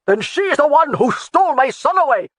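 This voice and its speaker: The audiobook narrator voicing a gruff old male Highland chieftain who roars every word. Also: moderate pace, no echo, natural voice. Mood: roaring. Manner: roaring, shouting every word.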